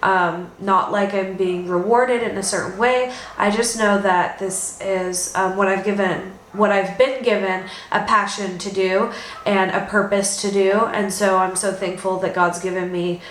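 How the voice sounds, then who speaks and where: A woman's voice: mid-range voice, fairly even intonation, unhurried, fairly bright tone. A young Californian woman talks in a casual, chatty vlog style with a mid-range voice. Her delivery is informal and unscripted, full of loose asides. She is recorded in an echoey room with light background noise. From Los Angeles, United States. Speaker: vlogger. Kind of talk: casual improvised vlog chat.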